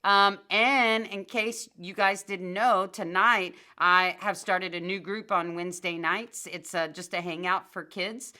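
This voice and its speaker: Bright-voiced grandmother podcast host. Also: on the low side, a little slow, few pauses. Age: older. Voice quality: monotone. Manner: plainspoken, motherly, emphatic advice. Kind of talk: casual church podcast chat.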